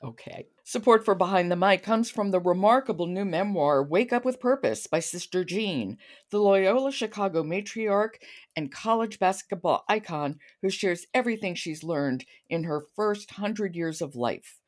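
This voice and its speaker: A woman's voice: mid-range voice, lively intonation, normal speed. A woman hosting a podcast about books in a conversational style, asking questions and introducing titles. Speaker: podcast host. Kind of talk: conversational book-show hosting.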